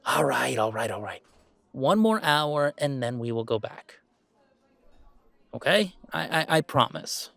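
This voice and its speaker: A man playing an earnest, playful young werewolf gamer in an intimate, conversational roleplay audio, speaking in a halting, emphatic way. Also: medium pitch, gravelly, no room sound, full character voice. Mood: playful, coaxing. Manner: halting, emphatic, expressive, with sighs and chuckles.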